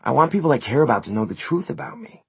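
A man's voice: medium pitch, very animated, fast, gravelly, husky, no echo, pitched above the performer's own voice, heavily acted. A man voicing an earnest, defensive young man in an audiobook, insistent in manner.

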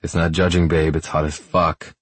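An audiobook romantic lead acted by a man, gruff, blunt and protective of the one he adores. The voice is deep and husky, recorded very dry. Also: flat delivery, moderate pace, no room sound. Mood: seductive, commanding. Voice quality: husky, low. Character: smitten, protective, blunt-spoken gruff male lover. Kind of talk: audiobook character dialogue.

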